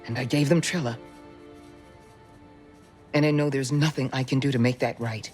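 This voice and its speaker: Over loud music, a weary middle-aged woman mentor in a video game drama. She speaks solemnly and deliberately, with an earnest gravity weighed down by guilt. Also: extremely low pitch, steady tone. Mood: concerned. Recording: music behind dialogue.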